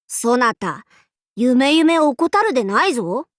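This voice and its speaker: A woman's voice: high-pitched, very animated, takes it slowly, no echo, studio quality, heavily acted. A woman playing a proud, boisterous warlord in an anime game, with pompous, playful, archaic lordly speech. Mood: haughty, musing. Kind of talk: anime game character voice lines.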